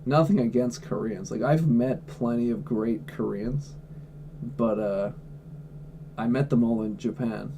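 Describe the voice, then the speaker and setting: A man's voice: medium pitch, unhurried, gravelly, mellow, muffled recording, slight background noise. A man livestreaming from home, answering chat questions in a blunt, offhand way and swearing freely. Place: at home indoors.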